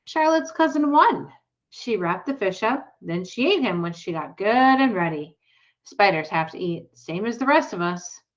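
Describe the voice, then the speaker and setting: A woman's voice: mid-range voice, animated, normal speed, natural voice. An earnest young farm girl who loves animals, from a storybook, voiced by a woman reading aloud. She speaks eagerly and plainly. The recording is slightly dull.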